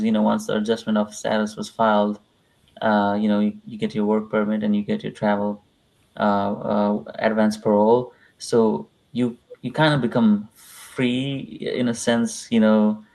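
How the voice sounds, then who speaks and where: A man's voice: fairly low pitch, little pitch movement, normal speed, a little mellow, poor audio. A young man from Nepal, a guest in a live interview, talking casually and hesitantly with many fillers. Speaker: interview guest, visa client.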